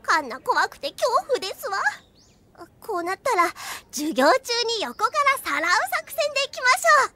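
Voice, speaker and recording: A woman's voice: extremely high pitch, sing-song, moderate pace, sharp tone, full character voice. An actress playing a shy, scheming girl with quirky, overly polite prim speech in an anime audio drama. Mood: timid, fretful. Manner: prim, redundant polite phrasing ending in desu wa.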